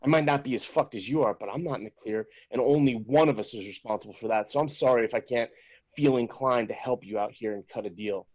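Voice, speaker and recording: A man's voice: mid-range voice, fast, a little mellow, natural voice. Guy reading a pissed off swearing showrunner, muffled call audio. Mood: bitter. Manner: profane, bitter, heated. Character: angry bitter male showrunner. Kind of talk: table read acting.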